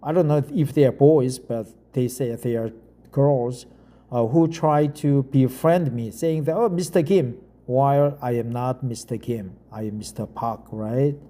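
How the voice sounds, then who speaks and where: Korean guy, non-native english, slow and halting with lots of uh's, very dark mellow tone. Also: medium pitch. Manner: halting, many "uh" fillers and restarts. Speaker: channel host reading articles aloud. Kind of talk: casual monologue and article reading.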